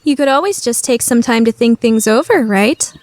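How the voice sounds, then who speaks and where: A woman's voice: fairly high pitch, animated, normal speed, a little mellow, no room sound, natural voice. A woman voicing a theatrical, easily flustered, scheming teenage girl in an anime fandub radio play. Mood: exasperated. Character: dramatic, scheming, guilt-ridden teenage student girl.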